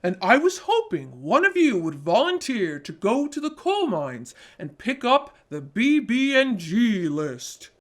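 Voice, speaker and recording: Woman putting on booming, slow old Santa. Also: sing-song. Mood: booming announcement. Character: booming jolly old Santa Claus addressing his elves.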